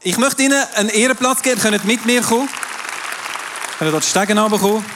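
A man's voice: sits high, quick pace, background noise. Male pastor, Swiss German accent, French his first language, emphatic sermon delivery, long hall reverb. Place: large hall with audience. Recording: distant voice in a reverberant hall with crowd.

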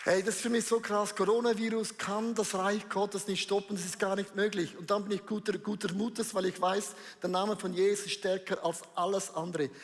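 High-voiced hyped male pastor. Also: little pitch movement, moderate pace, hardly any pauses, slight background noise. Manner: hyped, enthusiastic, preacherly. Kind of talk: energetic church service address. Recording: long hall reverb.

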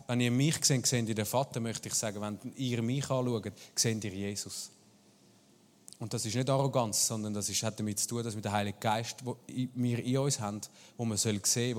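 A man's voice: mid-range voice, steady tone, a little fast, long reverb tail. A man preaching a sermon and leading a guided prayer, earnest and searching, with small restarts.

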